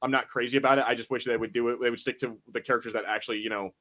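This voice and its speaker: A man's voice: medium pitch, steady tone, racing, sharp tone. Informal man hosting a podcast. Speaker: podcast host. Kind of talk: casual chat.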